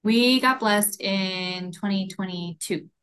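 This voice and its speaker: Soft-spoken young woman from California. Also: mid-range voice, slow pace, clear, resonant tone. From California, Bay Area. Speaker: guest. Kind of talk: casual interview chat. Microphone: video call.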